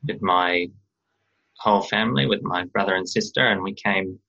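A young man from Australia, a guest on a radio interview, telling his story slowly with frequent ums and restarts. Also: sits low, creaky, sharp tone, highs cut off. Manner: hesitant, many ums and restarts.

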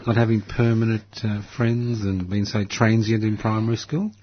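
A man's voice: on the low side, steady tone, normal speed, slightly rough, lo-fi sound. A man hosting a casual studio interview, asking playful, teasing questions. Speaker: host.

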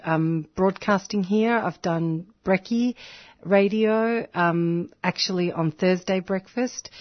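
A woman from Chile, a community radio broadcaster and activist, explaining things earnestly in a radio interview, with frequent ums. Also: fairly low pitch. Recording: dull lo-fi radio audio.

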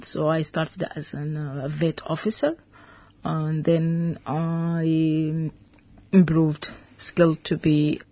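Low, slow-spoken Sudanese woman. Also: no room sound, dull audio. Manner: halting, searching for words. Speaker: interview guest, veterinarian. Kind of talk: radio interview.

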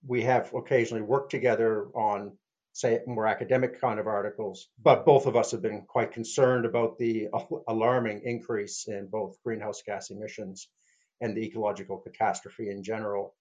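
A man's voice: lowish voice, little pitch movement, normal speed, a little mellow, breathy edge, highs cut off. An older man, an author and activist, answering interview questions hesitantly with fillers.

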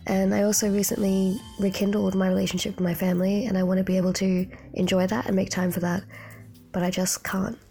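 Flat gravelly young woman. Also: mid-range voice, brisk, soft background music. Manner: reflective, earnest. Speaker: postgrad student and community advocate. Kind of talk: recorded voice note.

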